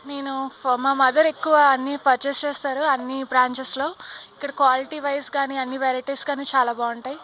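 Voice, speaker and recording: High, bright-voiced woman with faint noise. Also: little pitch movement, a little fast. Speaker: customer giving a testimonial. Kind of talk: spontaneous interview testimonial. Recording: noisy on-location interview.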